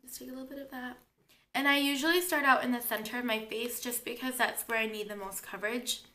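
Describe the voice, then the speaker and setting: A young woman talks through her makeup routine in a relaxed, chatty way, explaining each step. Her voice is bright and a little high, though stuffy from a cold and a sore throat. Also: fast. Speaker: beauty vlogger. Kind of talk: casual makeup tutorial.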